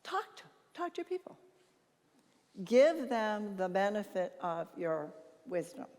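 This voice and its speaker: An older woman with a naturally loud voice speaks in a big, reverberant hall. Her pitch sits in the middle range for a woman. She talks informally as a conference speaker, telling stories and playing out quoted exchanges. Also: very animated, unhurried. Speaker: conference speaker and leadership consultant. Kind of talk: informal conference talk with anecdotes, answering questions.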